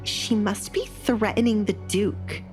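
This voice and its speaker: A woman's voice: mid-range voice, steady tone, unhurried, a little mellow, soft background music, lightly acted. A woman playing an indignant, scheming noblewoman in an audio drama, haughty and accusing. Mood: indignant, suspicious. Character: indignant scheming stepmother and jealous spoiled sister.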